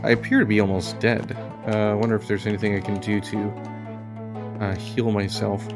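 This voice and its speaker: A man's voice: on the low side, flat delivery, normal speed. A man giving casual, understated let's play commentary, musing aloud as he plays. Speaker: gaming video host. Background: game music behind the voice.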